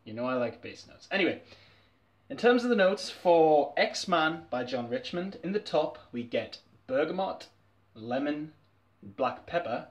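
A man's voice: a little high, big pitch swings, a little slow. A young man, chatting candidly and laughing often as he reviews a fragrance in a small bedroom. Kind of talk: casual review vlog. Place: small dim bedroom, indoors.

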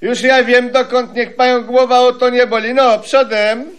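An actor playing a pompous, suspicious, greedy private detective in a 1970s radio comedy, giving gruff orders and indignant complaints. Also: male voice, very high voice, flat delivery, normal speed. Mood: bossy. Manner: gruff commands, swooping indignation.

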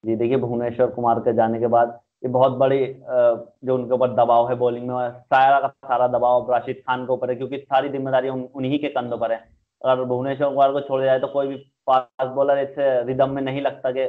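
Even-toned young man on a phone-like line. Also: mid-range voice, brisk. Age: young adult. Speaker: cricket analyst. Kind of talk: conversational match analysis. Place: indoors, small room, video call. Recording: thin phone-like video call audio.

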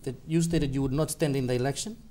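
A man in his thirties interviews a guest in a TV studio, pressing him with challenging questions. He talks quickly, in a dark, mellow voice. He is close on a lapel mic. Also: mid-range voice, fairly even intonation. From Fiji.

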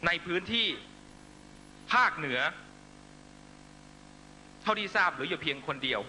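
A middle-aged man giving an impassioned political rally speech in emphatic, short measured phrases into a stage microphone. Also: fairly high pitch, animated, bright, forceful tone. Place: large night-time outdoor rally stage. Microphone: stand microphone on stage. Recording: noisy live rally public-address broadcast with hum.

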